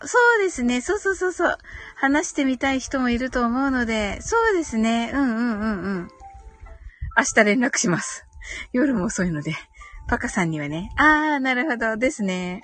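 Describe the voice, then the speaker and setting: Female, high voice, casual stream host, chatty, giggly, frequent murmurs of agreement, music behind. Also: a bit bright. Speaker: host of a live audio stream. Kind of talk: casual live chat, reading out listener comments.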